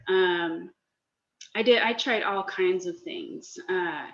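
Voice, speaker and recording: A woman's voice: on the low side, takes it slowly, a bit bright. A woman in her forties from Northern California, a personal chef giving a casual, friendly presentation from her home kitchen over a video call. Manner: friendly, chatty, a little nervous. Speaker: guest speaker, personal chef. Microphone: laptop or webcam mic on a video call. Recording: echoey, dull video-call audio.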